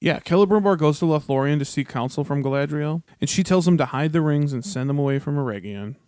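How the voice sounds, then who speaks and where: A man's voice: fairly high pitch, quick pace, a little mellow, clean tone, no room sound, studio quality. A man co-hosting a podcast in casual, slangy and jokey talk about fantasy lore. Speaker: podcast co-host.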